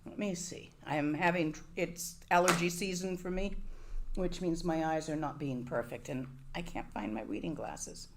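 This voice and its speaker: A woman's voice: low voice, moderate pace, a little hiss or hum. A woman giving a Buddhist dharma talk to a live audience, conversational and wry, often asking her listeners questions. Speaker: Buddhist teacher.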